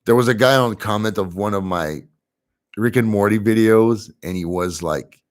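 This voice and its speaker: A young man with a French accent, his first language being French, hosts a relaxed livestream, chatting in English to his viewers. His voice sits a little low, and he talks in an easygoing, chatty way with soft laughs. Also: moderate pace. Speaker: livestream host reading chat. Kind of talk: casual livestream chat.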